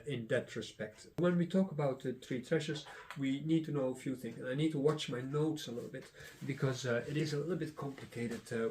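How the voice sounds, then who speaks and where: Middle-aged man, Dutch native speaker, fillers, restarts, explaining slowly, mid pitch. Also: a little mellow. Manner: rambling, many filler sounds and restarts. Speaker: Taoist teacher and channel host. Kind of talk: casual explanatory lesson.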